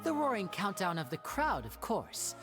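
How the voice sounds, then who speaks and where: A woman's voice: medium pitch, huge pitch swings, moderate pace. A woman playing a composed young male stage magician in a video game, polished, articulate and a little defensive. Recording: music behind the voice.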